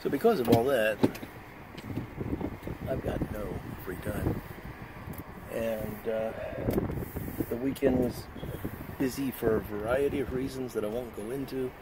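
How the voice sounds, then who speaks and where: A man's voice: medium pitch, unhurried. A man in his fifties chatting casually to camera while driving, rambling with lots of fillers. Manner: rambling, relaxed, frequent fillers. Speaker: vlogger. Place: inside a car, driving. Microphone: camera on the dashboard. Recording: loud car and road noise.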